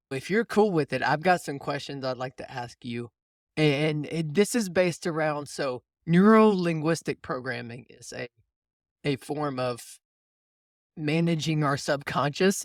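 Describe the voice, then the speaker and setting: A man's voice: mid-range voice, dry recording, clean studio sound. A man hosting a casual coaching conversation, explaining things informally and often rephrasing as he goes. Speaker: podcast host and mindset coach.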